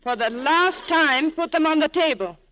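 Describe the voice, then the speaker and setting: A woman's voice: sits high, expressive, moderate pace, a bit bright. An actress playing a torn, desperate woman pleading with a former lover, in earnest 1940s radio drama style on an old broadcast recording. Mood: tense resolve.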